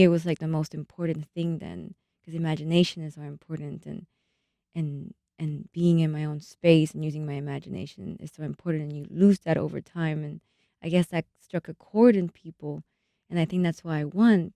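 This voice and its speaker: A woman's voice: low-pitched, fairly even intonation, normal speed, vocal fry, dark tone, no echo. A young woman, a storyteller, chatting earnestly in a radio interview with some hesitation. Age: young adult. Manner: hesitant, earnest, with fillers and restarts. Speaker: storyteller and massage studio owner. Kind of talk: casual interview chat. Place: radio studio.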